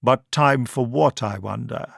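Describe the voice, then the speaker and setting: A man voicing a tall, solemn doctor, a probing sci-fi audiobook hero who speaks with deliberate, accusing emphasis. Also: medium pitch, creaky, dry recording, clean studio sound. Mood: musing.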